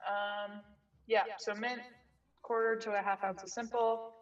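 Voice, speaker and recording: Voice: medium pitch, level tone, measured pace, a bit bright. A young co-host in their twenties gives cocktail instructions in a bossy, casual way and swears freely. The voice comes over a call and sounds dull and a bit far from the mic. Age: 20s. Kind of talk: casual chat while making a drink.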